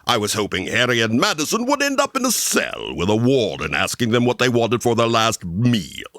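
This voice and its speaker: An actor playing a gleefully macabre, exasperated horror host in 1940s radio style, theatrical and mock-sinister. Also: male voice, mid-range voice, huge pitch swings, moderate pace, a bit bright, dry recording, clean studio sound. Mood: sour, disgusted.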